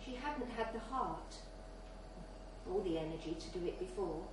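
A woman's voice: medium pitch, expressive, normal speed. A woman reading a literary short story aloud as scripted narration at a live event in a bar. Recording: very echoey, distant mic, faint noise.

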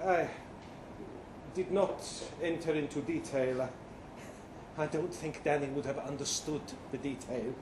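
Man acting as bewildered professor from the future, earnest, formal, slow and halting, precise diction, story reading, roomy sound with faint noise. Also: on the high side, close to an everyday voice. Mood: earnest, measured. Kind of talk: dramatic first-person short story reading. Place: indoor live literary reading event. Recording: roomy live venue, faint background noise.

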